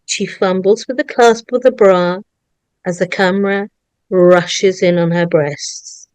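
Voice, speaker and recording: A woman's voice: lowish voice, fairly even intonation, slow pace, darkish tone, clean tone, no echo. A woman narrating at a script table read, reading out screenplay stage directions.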